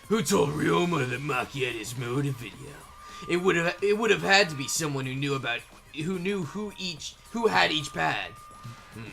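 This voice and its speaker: A man's voice: a little high, animated, normal speed, vocal fry, bright, forceful tone, exaggerated voice. A man in an amateur game fan dub playing an earnest young detective who reasons aloud with some hesitation. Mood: puzzling it out. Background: background music.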